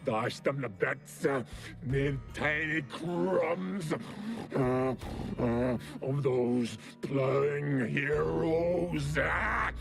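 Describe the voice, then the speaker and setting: An actor playing a savage, tormented cursed werewolf in a video game, growling in halting, broken phrases with groans. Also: male voice, fairly high pitch, music in the background, exaggerated voice. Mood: agonized, boastful. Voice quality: gravelly, growling. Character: cursed male werewolf, savage, boastful, tormented by endless hunger. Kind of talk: dramatic video game character acting.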